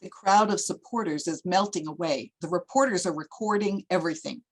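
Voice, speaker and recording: Low-pitched woman reading stage directions. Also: fairly quick, darkish tone, slightly rough, poor audio. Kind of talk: scripted screenplay narration.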